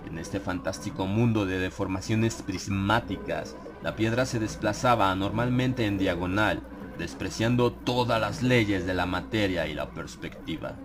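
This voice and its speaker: A man narrating a dramatized horror audiobook in a solemn, measured manner with suspenseful pauses. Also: low voice, noisy background. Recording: clear ambient sound effects behind the voice. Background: atmospheric horror sound effects.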